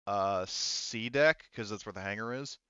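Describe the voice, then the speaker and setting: A low, smooth male voice, dry and slightly muffled. He is a game master talking through a tabletop session casually, pausing often as he works things out aloud. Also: pushed and bright, no echo. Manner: halting, thinking aloud.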